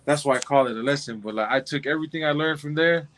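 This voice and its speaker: A man's voice: a little high, quick pace, a bit bright. A man in his twenties from Southern California, a football player answering interview questions in a laid-back, rambling way. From Compton, California. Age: 20s. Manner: laid-back, rambling, many fillers and restarts. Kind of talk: casual interview.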